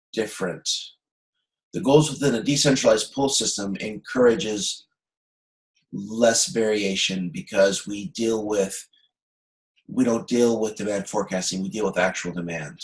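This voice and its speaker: A man giving an online lecture as an instructor, explaining problems step by step in a halting way and often checking that he is understood. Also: medium pitch, poor audio. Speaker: university instructor. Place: video conference call.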